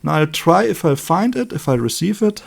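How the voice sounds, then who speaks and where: A man with a moderately high-pitched voice presents a hands-on electronics demonstration. He speaks without a script, as a hobbyist would at the workbench. His remarks come in short, halting pieces as he thinks aloud. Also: big pitch swings, vocal fry.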